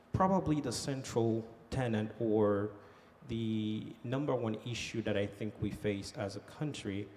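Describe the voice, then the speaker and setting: Animated Haitian-born man on a panel. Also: lowish voice, a little hiss or hum. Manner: animated storytelling with frequent fillers. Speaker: panelist, economic development director. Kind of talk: conference panel talk. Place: conference hall.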